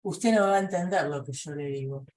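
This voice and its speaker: A woman's voice: sits low, a little fast, darkish tone. A middle-aged woman with an Argentine accent, a psychoanalyst telling clinical anecdotes in a seminar discussion. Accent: Argentine Spanish. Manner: conversational, tells clinical anecdotes.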